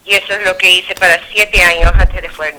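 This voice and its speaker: A very bright, forceful woman in her thirties from Peru, answering an interviewer's questions as a judge. She speaks in a halting way, full of fillers and self-corrections. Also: on the low side, steady tone, rough recording.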